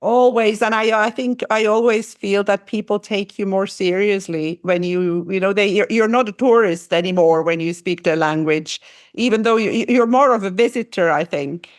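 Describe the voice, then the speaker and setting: A woman hosting a travel podcast interview, speaking in a halting way with frequent restarts. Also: medium pitch, no room sound, clean studio sound. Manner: halting, restarts phrases often. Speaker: podcast host. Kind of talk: conversational interview.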